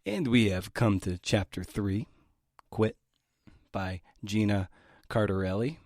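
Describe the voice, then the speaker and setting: A man's voice: on the low side, slow pace, darkish tone, no room sound, studio quality. A man hosting a podcast, introducing essayists and their work with informal, playful asides. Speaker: podcast host.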